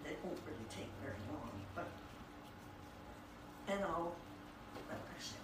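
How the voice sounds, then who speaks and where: A woman talking informally to a group and reading book passages aloud, with halting, admiring asides. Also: fairly low pitch, animated. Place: echoey room with a small group present. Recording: echoey room, distant mic, clear background noise.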